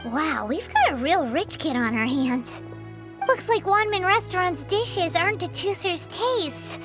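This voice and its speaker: A woman's voice: animated, a little slow, over music. A woman voicing a tiny, chatty, cheeky fairy companion in a video game, with a childlike, chirpy manner. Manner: squeaky, chirpy, opinionated.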